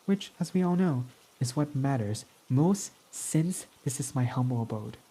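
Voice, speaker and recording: A man's voice: medium pitch, animated, a little slow, mellow, slightly rough, low-quality recording. A man voicing a prickly, snarky male villain in an ASMR roleplay, talking in a sarcastic, mocking, dismissive way. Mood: irritated.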